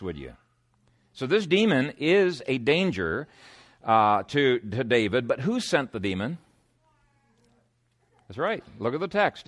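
A male pastor delivers a sermon in a somewhat high voice. He speaks earnestly and with emphasis, moving between storytelling and explanation of scripture, and puts rhetorical questions to his listeners. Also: a bit bright. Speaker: pastor preaching.

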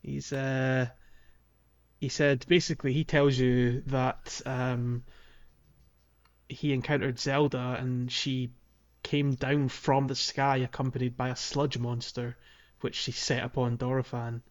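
Male podcast co-host, mid-pitched, hesitant informal game walkthrough chat, lo-fi sound. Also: little pitch movement, slow. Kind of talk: casual video game playthrough chat.

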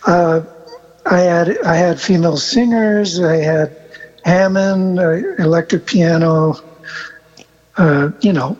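Man in his seventies, slow unhurried speech, rambling storyteller, dull lo-fi sound. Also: on the high side. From born in Argentina, raised across South America. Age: 70s. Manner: rambling, relaxed storyteller with many fillers and restarts. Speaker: musician guest. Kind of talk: casual conversational interview.

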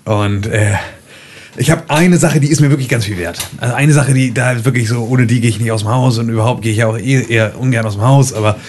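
A man's voice: mid-range voice, quick pace, a little mellow, a little hiss or hum, natural voice. A man playing a paranoid, hunted dental technician in a tabletop game, rambling nervously and repeating himself. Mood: agitated, rambling. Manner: nervous, rambling, stammering repetitions.

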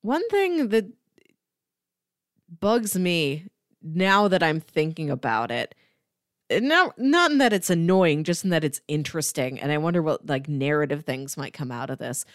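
A woman's voice: low-pitched, big pitch swings, unhurried, no echo, studio quality. A woman co-hosting a podcast, talking through a book in a casual, conversational way. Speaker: podcast co-host.

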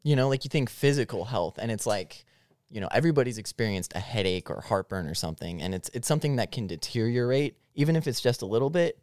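A man's voice: mid-range voice, expressive, quick pace, a little mellow, no echo, studio quality. An eighteen-year-old man talking informally as a guest on a podcast. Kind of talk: casual discussion.

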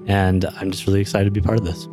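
A man's voice: deep voice, flat delivery, fast, warm tone, soft background music. A young man from California co-hosting a podcast, introducing himself and asking questions in an upbeat, eager way. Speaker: podcast co-host. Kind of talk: scripted intro and interview questions.

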